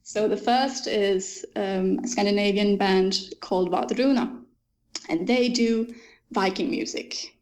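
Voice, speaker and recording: A young woman, a native Danish speaker, talking as an author guest in an interview, thoughtful and often laughing, pausing with ums. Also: medium pitch, a little mellow. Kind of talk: interview conversation.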